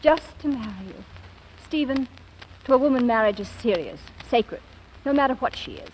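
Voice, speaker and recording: An actress playing a brisk, dryly sarcastic secretary in a 1940s-style radio comedy, with wry, clipped retorts. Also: female voice, a little high, expressive, a little mellow, lightly acted. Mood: wry, reproachful. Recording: noisy old radio recording.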